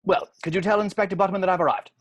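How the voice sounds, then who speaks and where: A man playing an earnest, by-the-book police sergeant in a table read, formal and clipped with a husky voice. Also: high-pitched, fairly even intonation, very fast. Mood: polite, confused. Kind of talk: amateur screenplay table read.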